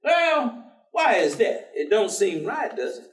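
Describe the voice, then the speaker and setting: Heard from a distance in a roomy theater, an actor plays a folksy, good-humored man of faith on stage. His voice sits high and he talks in an easy vernacular, teasing and questioning. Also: big pitch swings, a little slow. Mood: curious. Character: folksy, devout, good-humored man gently challenging a gloomy professor. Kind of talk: staged play reading. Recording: echoey theater, distant microphone.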